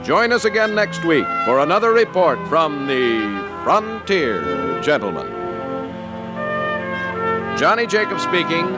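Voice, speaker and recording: A man with a fairly high, animated voice announces in the polished promotional style of old-time network radio. Loud brass music plays behind him. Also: takes it slowly.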